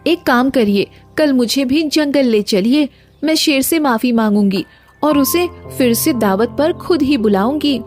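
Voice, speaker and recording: A woman's voice: sits high, a little slow, darkish tone, soft background music. A woman playing a remorseful, affectionate village wife in a cartoon moral story, speaking in an earnest, polite way. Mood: regretful. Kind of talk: animated moral story dialogue.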